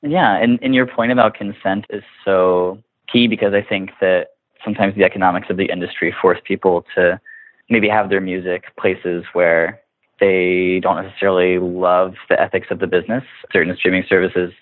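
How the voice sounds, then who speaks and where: A man's voice: low-pitched, flat delivery, moderate pace. A man in casual interview conversation, talking informally and often acting out imagined quotes to make his point. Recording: narrow phone-like line with room echo.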